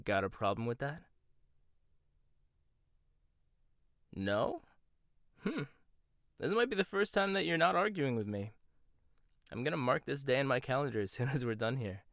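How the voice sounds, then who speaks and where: Smug rival chef, a young man voicing him, teasing and competitive, mid pitch, dry close-mic ASMR. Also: very animated, moderate pace, a bit bright, no echo. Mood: gruff. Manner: teasing, smug, laughs often. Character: cocky rival male chef, condescending and competitive. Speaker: voice actor. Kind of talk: boyfriend ASMR roleplay.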